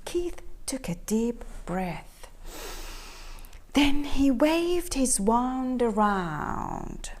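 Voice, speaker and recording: A woman reading a picture book aloud to children in an expressive, animated storytelling style, inviting them to join in. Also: high-pitched, very slow, slight background noise. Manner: expressive, asks the listening children questions.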